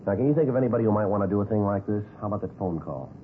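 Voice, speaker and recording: Man as a fast-talking deadpan police detective, old radio. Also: on the low side, natural voice. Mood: probing, polite. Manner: deadpan, clipped, courteous. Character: polite, businesslike male police detective questioning calmly. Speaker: actor in a radio crime drama. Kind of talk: understated 1950s radio acting. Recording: tinny, noisy vintage radio recording.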